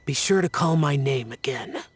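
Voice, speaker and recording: A man playing an aloof immortal guardian in a video game, youthful-sounding, formal, stern and measured. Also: mid-range voice, a little hiss or hum, close to an everyday voice. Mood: stern, detached. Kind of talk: video game character acting.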